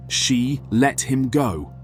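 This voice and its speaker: A man playing a self-interested vampire councilman who speaks in a measured, formal, persuasive way. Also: mid-range voice, animated. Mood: incredulous. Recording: dry recording over music.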